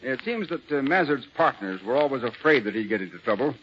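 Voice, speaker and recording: A hissy, dull vintage radio recording has a man playing a middle-aged lawman with a medium-pitched voice. He sounds self-assured and wry, laying out his points in crisp 1940s drama fashion. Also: animated, fast talker, natural voice. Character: confident, brisk middle-aged city lawman, teasing and assured. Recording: muffled, noisy 1940s radio broadcast recording.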